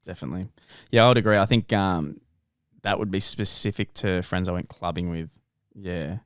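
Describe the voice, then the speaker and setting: A young man co-hosting a podcast, easygoing and quick to laugh, reading out questions in casual chat. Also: low-pitched, smooth, dry recording, clean studio sound. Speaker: podcast co-host. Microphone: studio podcast mic.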